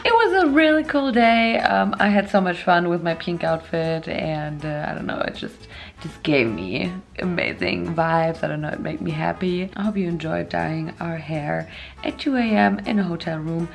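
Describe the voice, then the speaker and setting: A young woman, chatting in a hotel room late at night, giggly and tired, her voice worn low. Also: animated, moderate pace, vocal fry, soft background music. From Germany. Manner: giggly, chatty, laughs often. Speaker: vlogger. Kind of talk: casual late-night vlog.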